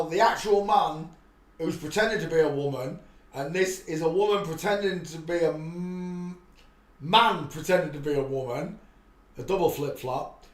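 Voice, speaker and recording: A man talks in a rambling, preachy monologue, with his voice sitting a little higher than usual for a man. He restarts his sentences often and sometimes breaks into louder bursts. The echo of the room surrounds everything he says. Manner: preachy, rambling, with frequent restarts and loud outbursts. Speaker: livestream host. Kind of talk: rambling live-stream monologue.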